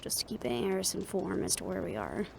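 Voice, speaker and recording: Fantasy audio roleplay: composed, protective female adventurer, played by a woman, mid-pitched with gravelly fry, gently teasing. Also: sing-song, fairly quick, slight background noise. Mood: calm.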